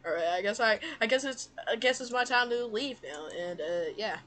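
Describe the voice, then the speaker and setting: A woman's voice: fairly high pitch, lively intonation, fairly quick, bright, forceful tone. A young woman improvising a comedic cartoon fan dub in a giggly amateur way, often slipping out of character. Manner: giggly, swearing, breaks out of character.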